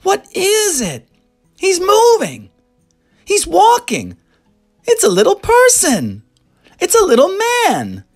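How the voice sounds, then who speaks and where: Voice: very high-pitched, wildly swooping pitch, takes it slowly, long pauses, soft background music, pitched above the performer's own voice, heavily acted. A narrator voicing a spoiled little girl in a storybook, shrieking frantically. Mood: frantic, excited.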